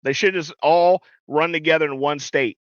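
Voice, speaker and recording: A high-voiced, blustery football head coach with a thick Southern accent, as a man acts him out, swaggering and quick to take offense, calling everyone sir. He brags and huffs in a comedic podcast bit. The sound is dull and dry. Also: fairly even intonation, no echo, lightly acted. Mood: mocking. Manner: swaggering, indignant, calls people sir. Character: blustery boastful male football head coach, middle-aged. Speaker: podcast co-host in character.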